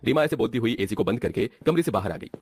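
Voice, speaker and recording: A man's voice: fairly low pitch, expressive, quick pace, a little mellow, slightly rough, dry recording. A man narrating a scripted cartoon family story as the storyteller.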